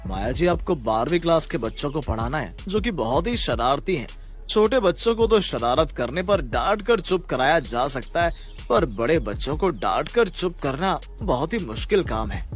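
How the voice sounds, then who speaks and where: A man plays a courteous school principal in a cartoon tale. His manner is businesslike, with varied intonation and a slightly raised pitch. Light music sits behind. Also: very animated, normal speed. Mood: polite, advising. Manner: polite, businesslike. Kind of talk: animated story character acting.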